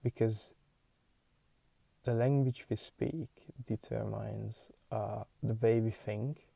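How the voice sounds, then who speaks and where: Hungarian man musing aloud, gravelly. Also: lowish voice, steady tone, warm tone, no room sound. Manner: halting, searching, thinking aloud with many restarts. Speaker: podcast host. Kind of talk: solo musing monologue.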